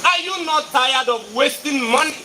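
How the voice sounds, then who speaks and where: Casting: male actor as weary, commanding monarch, high-pitched, theatrical, clear noise on the live stage recording. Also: moderate pace. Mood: stern, weary. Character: weary, commanding male Igwe, a traditional king. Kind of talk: theatrical stage play acting.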